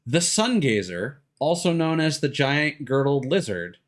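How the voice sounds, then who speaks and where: A man's voice: on the high side, sing-song, measured pace. A man doing casual, rambling livestream commentary over a video game, thinking aloud as he goes. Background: music behind the voice.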